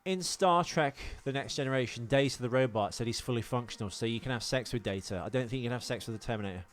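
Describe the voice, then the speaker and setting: Man, fast talker, mid-pitched, crude joking podcast chat. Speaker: podcast co-host. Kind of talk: casual film podcast banter.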